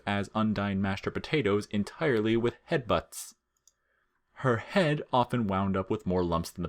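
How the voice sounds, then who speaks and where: A man reading scripted comic fiction as narrator, in a deadpan, measured storytelling manner. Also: fairly low pitch.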